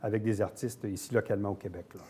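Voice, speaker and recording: A man's voice: fairly low pitch, fairly even intonation, fast, warm tone, husky. A man with a Quebec French accent conducting a casual, conversational interview.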